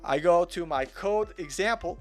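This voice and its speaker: A young man from Russia explains his code in a relaxed, chatty way, wandering off on small tangents and poking fun at himself. His voice sits a little high for a man. Soft music plays faintly underneath. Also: normal speed. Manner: chatty, rambling, self-deprecating. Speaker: coding tutor. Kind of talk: casual live coding walkthrough.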